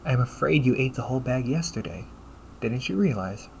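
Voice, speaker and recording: A man playing a polite, caring, gently teasing AI companion in an intimate audio roleplay, speaking formally to the listener. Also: mid-range voice, expressive, normal speed, vocal fry, low-quality recording. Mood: helpful, attentive. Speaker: voice actor. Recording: noticeable background noise.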